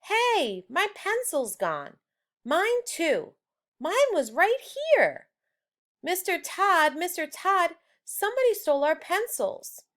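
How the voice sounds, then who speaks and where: Woman putting on shrill, alarmed schoolchildren. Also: big pitch swings, takes it slowly, a bit bright, full character voice. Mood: alarmed, complaining. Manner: high-pitched, exclaiming. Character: alarmed, complaining young schoolchildren in a children's story.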